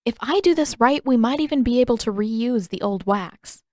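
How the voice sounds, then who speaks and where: Woman voicing a teasing, confident teenage girl, higher-pitched. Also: animated, warm tone, dry recording, studio quality, lightly acted. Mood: eager, confident. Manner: brisk, playfully sarcastic.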